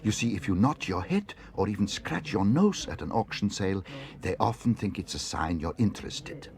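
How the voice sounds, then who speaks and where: A man voicing a kindly, patient older gentleman in a storybook, courteous and gently explanatory. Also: on the low side, expressive, moderate pace, vocal fry. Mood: reassuring.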